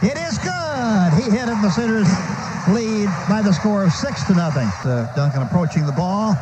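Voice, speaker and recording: A man's voice: high-pitched, lively intonation, normal speed. An American man doing live football play-by-play on radio in short, clipped phrases as the action happens. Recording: noisy archival radio sports broadcast, stadium ambience.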